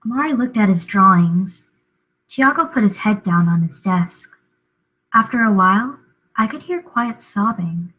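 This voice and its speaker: A woman's voice: mid-range voice, lively intonation, unhurried. Young woman narrating a novel, dramatic read, kinda lo-fi muffled audio. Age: young adult. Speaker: reader, drama student. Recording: dull lo-fi recording.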